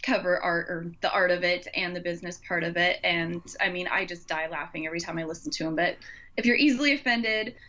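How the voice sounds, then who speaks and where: A woman's voice: fairly low pitch, fast talker, sharp tone, lo-fi sound. A woman chatting informally and enthusiastically, recommending things in casual conversation.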